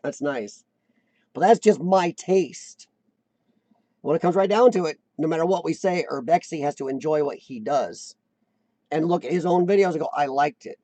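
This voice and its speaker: A man's voice: on the high side, normal speed, dark tone, no room sound. A man hosting a casual live-stream chat, rambling and self-deprecating, often restarting his sentences. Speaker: host of a live chat show.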